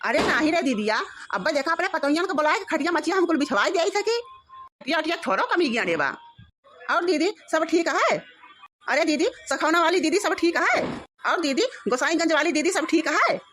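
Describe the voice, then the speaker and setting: A woman's voice: high-pitched, sing-song, moderate pace, a little hiss or hum. A woman with an Awadhi accent voicing a flustered, fawning village mother-in-law in a comedy cartoon, talking effusively and repetitively. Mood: effusive, flattering. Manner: effusive, repetitive, swinging to shouted orders. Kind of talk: rural family comedy cartoon.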